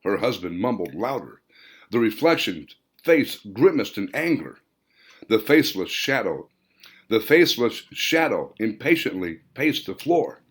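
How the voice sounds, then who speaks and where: A lower-pitched male narrator reads fiction as a dramatic audiobook. His pitch moves widely as he goes. He phrases deliberately, stretching key words for emphasis. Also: big pitch swings, fairly bright tone, husky. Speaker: author reading own novel.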